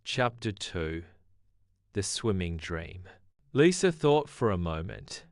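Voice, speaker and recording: A man narrating a scripted children's bedtime story in a gentle, measured way. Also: deep voice, sing-song, no room sound, studio quality.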